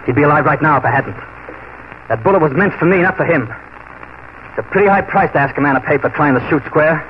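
A man's voice: a little high, fast talker, slight background noise, lightly acted. Actor doing a steely frontier lawman out for revenge, clipped and firm, on a dull vintage recording. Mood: grim. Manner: firm, clipped, cold resolve. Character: grim vengeful frontier marshal, steely. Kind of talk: 1940s radio acting. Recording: muffled old radio recording.

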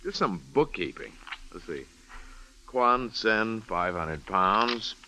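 A sleepy, wisecracking pal in an old radio thriller. His fairly low, bright voice swings about easily, with a little recording noise behind. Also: lively intonation, slow, full character voice. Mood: drowsy joking. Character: sleepy, wisecracking male translator pal, easygoing. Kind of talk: 1940s radio drama acting.

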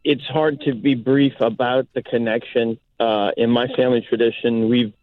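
A man's voice: mid-range voice, steady tone, moderate pace, no room sound. A man from South Louisiana, a native Cajun French speaker, calling in and talking reflectively, searching thoughtfully for words. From South Louisiana, United States. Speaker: caller. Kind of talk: reflective call-in talk. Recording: narrow phone line.

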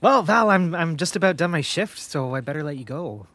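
An actor playing a polite young man working as a customer service rep, speaking in a measured, naturalistic way for an audio drama. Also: on the high side, expressive, fairly bright tone, dry recording. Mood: polite, helpful. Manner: courteous, measured, hesitant when personal. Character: polite young male call-centre rep, aspiring drag queen.

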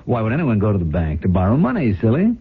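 A man's voice: on the low side, huge pitch swings, a little fast, husky, full character voice. An actor playing a glib, scheming, defensive businessman husband in a theatrical 1970s radio drama style. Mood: glib, reassuring. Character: smooth-talking, scheming husband running a failing company. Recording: tinny, noisy old radio broadcast.

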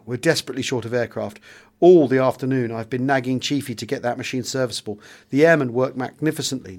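A man with a British accent reading a first-person memoir aloud as a dramatic audiobook narrator. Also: mid-range voice.

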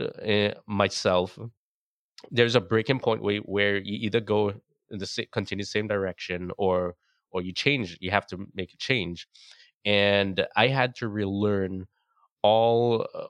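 A man from Trinidad, speaking earnestly and in a measured way in a casual podcast chat. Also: fairly low pitch, steady tone, a bit bright, dry recording.

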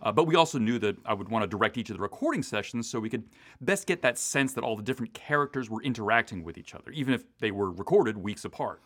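A man co-hosting a podcast as a director, explaining things in measured phrases in a conversational, loosely scripted style. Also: on the low side, animated, fairly bright tone. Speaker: audio drama director, co-host.

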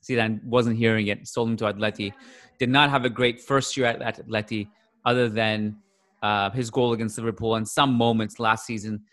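A man's voice: lowish voice, fairly even intonation, normal speed, fairly bright tone. A man co-hosting a football podcast, chatting casually and rambling with frequent restarts as he thinks aloud. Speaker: podcast co-host.

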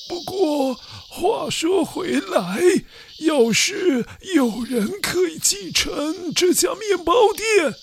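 A kind elderly baker frets and sighs in a high, quavering voice that swoops up and down, as a man puts him on. He speaks slowly, drawing out each worry. A faint hiss sits under the storybook recording. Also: gravelly, full character voice. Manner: sighing, fretful, quavering. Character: kind old male village baker.

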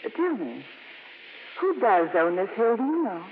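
A devout, lively nun in old radio. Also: female voice, mid-range voice, normal speed, slight background noise. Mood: polite, curious. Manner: polite, fervent, gently insistent. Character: earnest, devout, gently persistent nun, female. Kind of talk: 1950s radio drama acting. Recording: muffled, reverberant 1950s radio broadcast.

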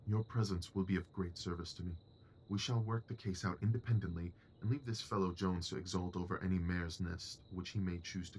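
A man playing a shrewd, commanding Victorian gentleman detective in an audio drama, precise, assured and dryly superior. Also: low-pitched, slight background noise, close to an everyday voice, rough recording.

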